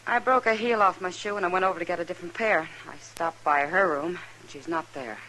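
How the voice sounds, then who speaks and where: A woman's voice: lowish voice, brisk, pushed and bright. Wry western saloon woman, American, frank female voice, radio drama, noisy vintage recording. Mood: worried. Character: worldly, wry, loyal frontier saloon woman. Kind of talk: old-time radio western acting.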